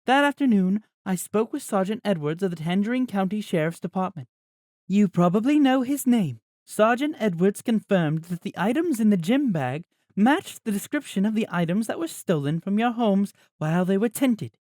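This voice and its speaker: A man voicing a formal, composed mother in an audiobook, speaking in an even, official manner. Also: mid-range voice, moderate pace, no room sound, clean studio sound, pitched above the performer's own voice, close to an everyday voice. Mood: formal, grave. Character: formal, composed mother addressing wronged neighbors. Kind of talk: audiobook character voice.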